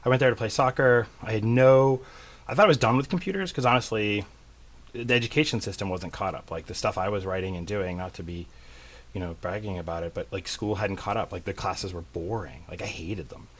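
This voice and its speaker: A man's voice: fairly low pitch, a little fast. A middle-aged man from central Massachusetts, a tech company CEO chatting as a guest, rambling and self-deprecating with lots of fillers. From central Massachusetts, USA. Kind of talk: casual interview chat.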